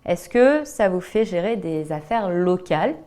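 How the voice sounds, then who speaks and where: A woman's voice: lowish voice, lively intonation, unhurried, dark tone. A woman hosting an interview in an informal, conversational way, rewording and padding her questions with small tag words. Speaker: interview host.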